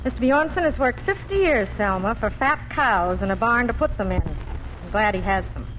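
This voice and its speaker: A woman's voice: medium pitch, animated, moderate pace, fairly bright tone, faint music behind, lightly acted. An actress playing a practical, caring, world-weary farm wife and mother in 1940s radio drama style, plain-spoken and wry. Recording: faintly noisy old 1940s radio broadcast.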